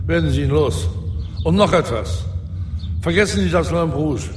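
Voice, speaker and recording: A man's voice: a little high, moderate pace, lightly acted. A man playing a cold, powerful ex-politician in a radio drama, formal, clipped and commanding. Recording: echoey hall, faint noise behind.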